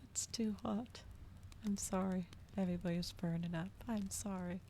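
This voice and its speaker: Hushed low-voiced woman reading ASMR. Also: steady tone, moderate pace, slight background noise. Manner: hushed, often slipping into whispers. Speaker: ASMR streamer reading a novel aloud.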